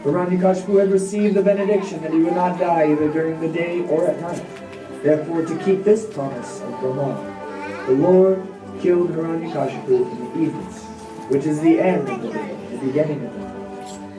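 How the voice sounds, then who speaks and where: A narrator of unclear sex reads a scripted devotional story in measured phrases. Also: medium pitch. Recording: echoey, distant, music behind.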